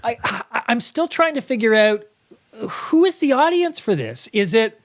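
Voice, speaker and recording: A man's voice: extremely high pitch, sing-song, moderate pace. An American man doing casual, tongue-in-cheek comedy commentary over a film.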